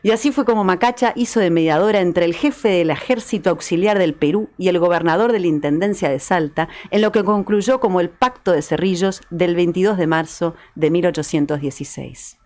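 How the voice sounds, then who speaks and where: A woman's voice: on the low side, a little mellow. A woman, a guest author narrating history as a story and chatting on a talk show. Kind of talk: dramatized storytelling narration and chat.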